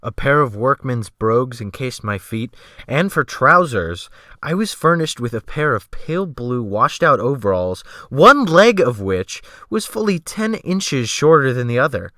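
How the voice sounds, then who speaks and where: A man narrating an audiobook as a dramatic reading, with measured, formal and emphatic phrasing. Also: medium pitch, very animated, bright, forceful tone, no echo, clean studio sound.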